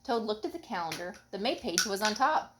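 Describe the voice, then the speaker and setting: A woman's voice: medium pitch, expressive, a little fast. A mother reading a children's story aloud expressively, breaking off for casual questions to her children. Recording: home recording with background noise.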